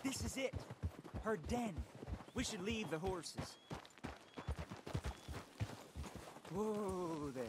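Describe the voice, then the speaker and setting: A man's voice: high voice, lively intonation, very noisy. A man playing a nervous, pleading frontier debtor with an American accent and a hesitant manner in a video game. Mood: hushed, wary. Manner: hesitant, placating, halting. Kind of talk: dramatic video game acting.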